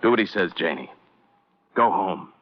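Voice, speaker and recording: An actor playing an intense, goading, obsessive murderer in an old-time radio crime drama. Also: male voice, low voice, lively intonation, normal speed, background noise. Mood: anguished, obsessive. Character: menacing, unhinged murderer confessing his crime. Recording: muffled vintage radio recording.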